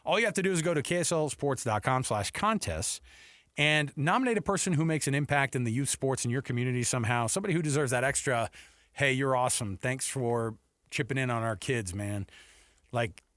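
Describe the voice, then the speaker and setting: A man's voice: mid-range voice, animated, a little fast, a bit bright, no echo, studio quality. A man hosting sports talk radio in a casual, chatty, joking way.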